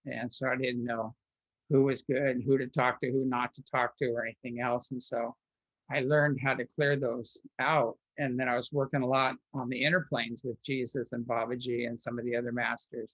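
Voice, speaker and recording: A man tells long personal stories as an interview guest, circling back on himself and restarting his sentences. His voice sits at an ordinary pitch. The recording is dull and lo-fi, with no room echo. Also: little pitch movement, moderate pace, a bit bright. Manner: rambling, with frequent restarts and filler words.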